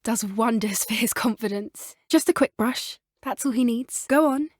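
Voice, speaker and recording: A woman voicing an earnest, caring teenage witch with a British accent in a video game, speaking in an articulate, gently expressive way. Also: on the high side, moderate pace, no room sound, studio quality, lightly acted. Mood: gently encouraging. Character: earnest, caring teenage witch schoolgirl who loves creatures. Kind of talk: video game character dialogue.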